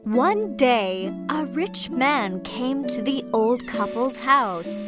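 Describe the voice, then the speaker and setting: A woman narrating a children's fairytale from a script, in clearly phrased storytelling style. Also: fairly high pitch, expressive, takes it slowly, over loud music.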